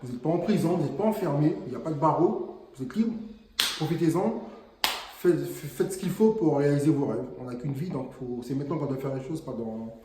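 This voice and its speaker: A man's voice: on the high side, fairly quick, warm tone, a little hiss or hum. A man from French Guiana, an investor guest speaking earnestly and motivationally in a casual interview, with frequent hesitant fillers. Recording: echoey room.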